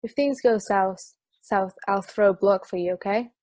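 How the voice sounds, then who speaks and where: A woman's voice: medium pitch, moderate pace, darkish tone, no room sound, lightly acted. A woman playing a sassy, bossy former football player in an amateur table read, blunt and emphatic. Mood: dryly protective.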